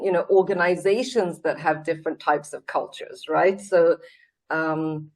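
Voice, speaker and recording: A woman's voice: on the low side, darkish tone. A Swedish-born woman, a CEO, talking in a casual interview over a video call, earnest and reflective with many fillers and restarts. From Sweden.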